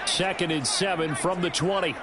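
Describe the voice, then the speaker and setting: Sports game play-by-play male actor, slightly high register, clipped and polished football calls, heavy noise behind. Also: moderate pace, close to an everyday voice. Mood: focused. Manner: clipped present-tense calls, polished broadcast delivery. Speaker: play-by-play announcer.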